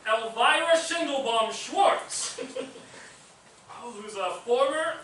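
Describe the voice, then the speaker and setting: Man playing a clueless hesitant narrator, very high-pitched, sharp bright voice, stage comedy, echoey. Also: expressive, slow pace, recorded from a distance, exaggerated voice. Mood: fumbling. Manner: hesitant, fumbling. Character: clueless, fumbling male stand-in narrator reading exposition. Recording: echoey stage recording from afar, faint noise.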